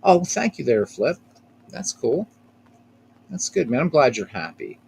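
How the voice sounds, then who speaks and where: A man's voice: mid-range voice, big pitch swings, a little slow, creaky, darkish tone, a little hiss or hum. A man hosting a casual live stream of a baseball game, rambling, repeating himself and chatting with viewers by name.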